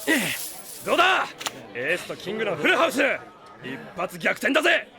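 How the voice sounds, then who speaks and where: A male actor playing a cocky, hot-headed young spaceship crewman in a Japanese audio drama, brash, slangy and excitable. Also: sits high, fairly quick, vocal fry, exaggerated voice. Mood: reckless, excited. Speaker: ship crewman.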